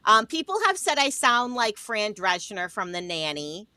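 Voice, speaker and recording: Talkative woman w/ new york-ish accent rambling on a livestream, bright voice. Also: mid-range voice, big pitch swings, normal speed, dry recording. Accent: New York-sounding. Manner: chatty, talkative rambling storyteller. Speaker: livestream co-host. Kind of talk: casual livestream chat.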